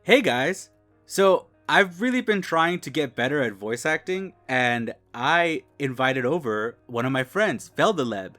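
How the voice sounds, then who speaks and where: A man's voice: on the high side, animated, a little slow, sharp tone, soft background music. A man hosting a casual chat, easygoing and self-deprecating, chuckling between remarks. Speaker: channel host.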